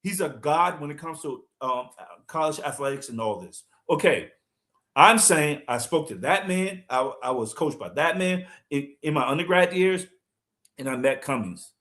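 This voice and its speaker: A man's voice: fairly high pitch, lively intonation, moderate pace, a bit bright. A man hosting a talk show, speaking passionately and building to indignant emphasis. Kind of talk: heated talk show commentary.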